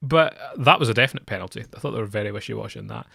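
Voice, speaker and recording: A man's voice: lowish voice, very fast, creaky, sharp tone, slightly rough, no echo, studio quality. A man with a Scottish accent co-hosting a football podcast in casual conversation.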